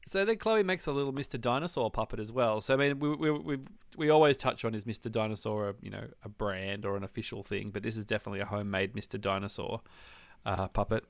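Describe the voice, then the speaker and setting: A man's voice: fairly low pitch, fast talker, gravelly, dry recording, studio quality. An Australian man, around his thirties or forties, co-hosting a podcast in casual chat, telling things in a wry, rambling way. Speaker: podcast co-host.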